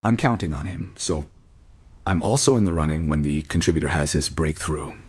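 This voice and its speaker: An actor playing a loyal, steady family friend in a crime radio play. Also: male voice, a bass voice, brisk, breathy edge, dry recording. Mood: wry.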